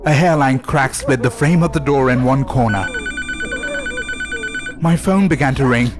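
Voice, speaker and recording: A man narrating a scripted horror story in a deliberate, suspenseful way with dramatic pauses. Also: medium pitch, background noise. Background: music under the voice.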